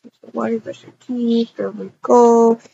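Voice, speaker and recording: Woman as a sweet young woman in casual roleplay; high, unhurried, gushing delivery, dull sound. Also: fairly even intonation, gravelly, warm tone, slight background noise. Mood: contented. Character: sweet, excitable young woman, Jenne, on a trip. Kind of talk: casual improvised roleplay acting. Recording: dull, lo-fi home microphone.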